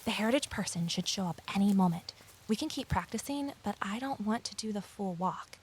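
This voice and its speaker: A woman's voice: medium pitch, animated, fairly quick, slight background noise, lightly acted, low-quality recording. A woman playing a reserved, practical, watchful tour guide in an audio drama, with a plainspoken, slightly hesitant manner.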